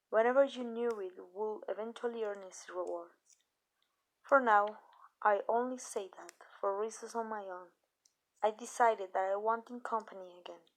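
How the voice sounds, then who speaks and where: A woman playing a calm, possessive vampire owner in an ASMR roleplay, speaking softly and deliberately with pauses. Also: medium pitch, close to an everyday voice. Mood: gentle, guarded. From Mexico. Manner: measured, deliberate, soft-spoken with pauses. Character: calm, possessive female vampire owner addressing her human. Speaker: amateur voice actress.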